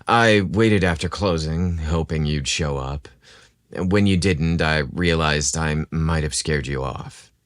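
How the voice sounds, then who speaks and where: Soft-spoken hunky barista acted by a male narrator, audio romance: low, gentle, flirtatious, dry sound. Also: slow pace, dry recording. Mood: apologetic.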